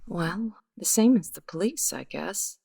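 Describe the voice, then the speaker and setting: A woman playing a weary, guarded, bittersweet widow in the style of a 1950s radio detective drama, speaking in a measured, hesitant way. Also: medium pitch, lively intonation, creaky, warm tone, breathy edge, heavily acted. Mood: subdued, resigned.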